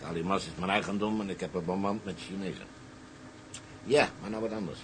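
An actor playing a boastful, wily older tugboat skipper with a salty, colloquial way of telling things. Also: male voice, low-pitched, a little fast, noisy background. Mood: wry, amused. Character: wily, boastful old colonial tugboat skipper, a persuasive schemer. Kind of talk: dramatised dialogue, storytelling in character.

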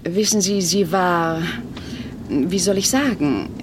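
An actress playing a self-assured, slightly vain crime novelist in a comic radio play, with an arch, dry and composed manner. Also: female voice, lowish voice, takes it slowly, noisy background. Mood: confiding.

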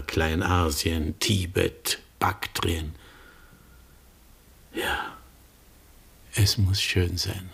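An actor playing a resigned family doctor in a German radio drama, speaking with restrained, formal, evasive courtesy. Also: male voice, deep voice, creaky, a bit bright, breathy edge, full character voice. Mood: wistful.

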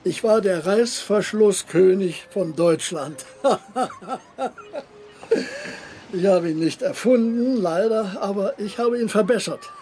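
Courteous older emigrant gentleman, high-pitched voice. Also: unhurried, slight background noise. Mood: wry amusement. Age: 60s. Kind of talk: radio drama acting.

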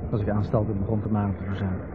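Wry Dutch loner brother, played by an actor, muffled recording. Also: male voice, lowish voice, flat delivery, very fast, very noisy, natural voice. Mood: wry, evasive. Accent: Netherlands Dutch. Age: 30s. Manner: dry, evasive, sardonic wordplay. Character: reclusive, wry older brother living on an island. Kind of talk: 1970s Dutch radio drama acting. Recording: muffled, lo-fi vintage radio recording.

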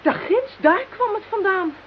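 A sensitive, excitable telepath in a radio play. Her voice is high and swings widely in pitch. The recording sounds dull and slightly hissy. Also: a little slow. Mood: thoughtful. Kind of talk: radio drama acting.

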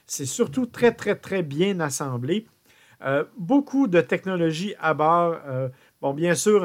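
Man, car show radio host, slightly high voice, chatty with lots of "euh". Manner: chatty, frequent "euh" and repeated words. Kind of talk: car review radio talk.

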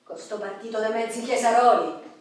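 An actress playing a brash, excitable working-class woman with a Roman accent, chatty and exclamatory in a radio play. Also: on the high side, normal speed, natural voice. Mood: indignant. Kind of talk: theatrical comic dialogue, Italian radio play. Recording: very echoey, distant, noisy stage recording.

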